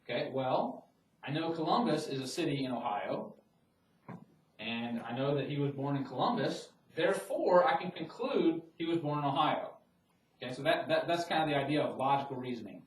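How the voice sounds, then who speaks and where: A man's voice: fairly high pitch, animated, normal speed, a little hiss or hum. A man teaching a math class, talking in a loose, conversational way with frequent restarts and fillers. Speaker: math lecturer. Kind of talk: classroom lecture. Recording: roomy classroom audio from a distant mic.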